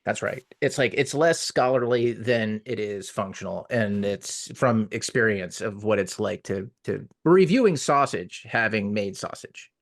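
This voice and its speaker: A man's voice: fairly low pitch, animated, a little slow, few pauses, dry recording, studio quality. A man co-hosting a podcast in casual, joking banter. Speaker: podcast co-host.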